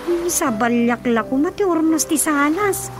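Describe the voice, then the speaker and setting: Very high-voiced daughter. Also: female voice, expressive, a little slow, music in the background. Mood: surprised, concerned. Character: grown daughter of an elderly couple. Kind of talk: comic radio drama acting in Ilocano.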